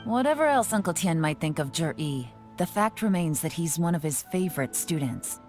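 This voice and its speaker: A woman voicing a cool, composed female secret agent in a video game, calm and commanding, with soft game music behind. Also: low voice, normal speed. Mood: focused.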